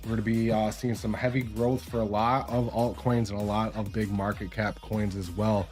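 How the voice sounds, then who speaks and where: Raspy man explaining crypto charts. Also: on the low side, level tone, normal speed, darkish tone, soft background music. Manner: casual, explanatory, many fillers. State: raspy from a cold. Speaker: crypto streamer. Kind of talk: live-stream chart analysis.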